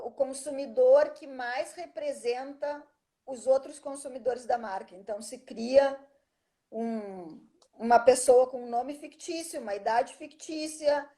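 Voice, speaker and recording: A woman's voice: a little high, mellow. A middle-aged woman, a teacher chatting as an interview guest and telling a story at length, often checking in with the listener. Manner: chatty storytelling, frequent "né?" tag questions. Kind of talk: casual interview conversation.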